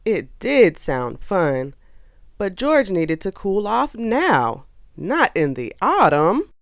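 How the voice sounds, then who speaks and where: A woman narrating a children's storybook aloud in an expressive, animated way. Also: mid-range voice, takes it slowly.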